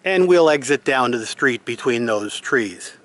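A man's voice: medium pitch, expressive, moderate pace. A man giving an unscripted, explanatory walk-through tour in a matter-of-fact way, speaking outdoors into a handheld camera. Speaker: homeowner giving a house tour. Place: outdoors in an open timber-framed house under construction. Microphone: handheld camera, held by the speaker.

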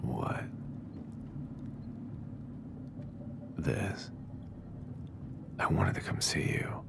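A man playing a tender, teasing young date in an intimate ASMR roleplay, murmuring softly in a breathy, gravelly whisper. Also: slow, natural voice. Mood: reassuring, teasing.

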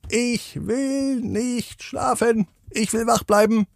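A man voicing a stubborn little girl who insists in a whiny, drawn-out way. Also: very high voice, steady tone, vocal fry, no echo, clean studio sound, voice pushed up, full character voice. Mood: stubborn, pleading.

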